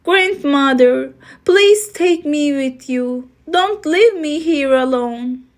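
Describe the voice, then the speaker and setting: A woman's voice: high voice, animated, takes it slowly, pitched above the performer's own voice. A woman voicing a poor, lonely little match girl in a storybook tale, with imploring delivery. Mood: desperate pleading. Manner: soft, imploring calls.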